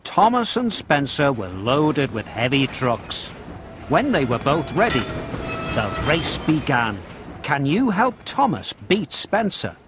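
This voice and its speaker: A man narrating a children's storybook game in a deliberate, expressive storytelling style, as scripted narration. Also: on the high side. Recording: music playing behind the voice. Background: background music.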